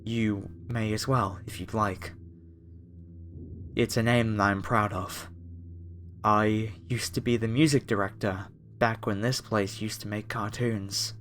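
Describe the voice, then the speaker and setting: A man's voice: lowish voice, fairly even intonation, a little slow, fairly bright tone, slight background noise. A man playing a polite, apologetic masked cultist, a former music director, in a horror ASMR roleplay addressed to the listener. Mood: genteel, wistful.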